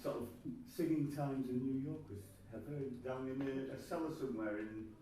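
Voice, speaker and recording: A man's voice: medium pitch, moderate pace, mellow. A man chatting casually on stage to an audience. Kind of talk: informal stage chat. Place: folk festival hall, before an audience. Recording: very echoey hall, distant, faint noise.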